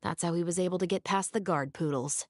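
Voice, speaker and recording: An actress playing a brisk, keen young female patrol officer in a video game, speaking in an articulate, confident, analytical way. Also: on the low side, a little mellow, no room sound, studio quality, lightly acted. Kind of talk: scripted video game voice acting.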